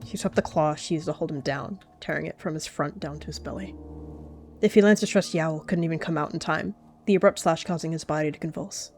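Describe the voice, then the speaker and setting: Young woman, roleplay narrator, lower voice, soft and earnest, faint background music. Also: brisk. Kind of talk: narrating a cat roleplay story.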